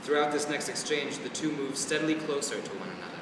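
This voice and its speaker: A man's voice: medium pitch, lively intonation, fast. A man reading out stage directions as scripted narration at a play reading. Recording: very echoey hall, distant mic, noisy ambience.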